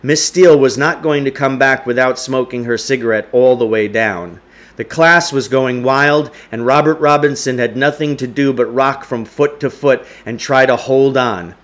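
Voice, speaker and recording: A middle-aged man reads a story aloud in a home living room. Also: medium pitch. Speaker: teacher reading aloud. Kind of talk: audiobook-style story reading. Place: indoors, home living room with wood stove.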